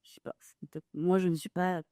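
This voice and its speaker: A woman from a charity speaks on a panel, explaining in a measured way with the odd filler. Her voice is mellow and smooth, recorded dry. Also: on the low side, little pitch movement, dry recording. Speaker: financial manager of a charity. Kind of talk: panel discussion.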